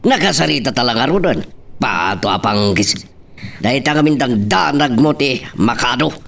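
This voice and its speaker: A man's voice: mid-range voice, lively intonation, moderate pace, creaky, a bit bright. A man with an Ilocano accent acting an easygoing man in a rural family audio drama. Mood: reassuring.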